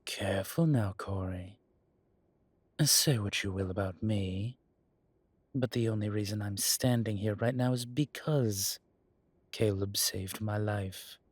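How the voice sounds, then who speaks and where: Husky, slow, proud ancient vampire played by a man. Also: on the low side, dry recording. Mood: firm, calm. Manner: slow, deliberate, quietly menacing. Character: ancient, proud, dangerous male vampire elder. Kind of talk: audio roleplay drama acting.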